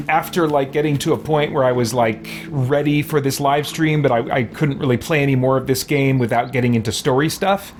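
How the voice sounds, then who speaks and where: A man's voice: mid-range voice, a little hiss or hum. A man doing casual, conversational live commentary as he streams a video game. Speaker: let's play streamer.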